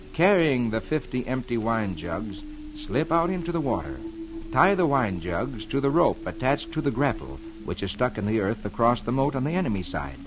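A man's voice: medium pitch, noisy background, close to an everyday voice. An actor playing a jolly, friendly man who reads comics to children on old-time radio, in a theatrical, emphatic storytelling style. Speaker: host reading newspaper comics to children. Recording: old radio broadcast with music behind.

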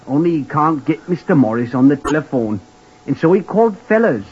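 A man's voice: a little high, big pitch swings, fairly quick, breathy edge. A man playing a simple, overly polite young hotel lift boy from Blackpool who keeps calling people sir, in 1940s radio acting style. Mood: eager. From Blackpool, Lancashire. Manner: overly polite, says sir constantly, apologetic. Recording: lo-fi vintage radio recording.

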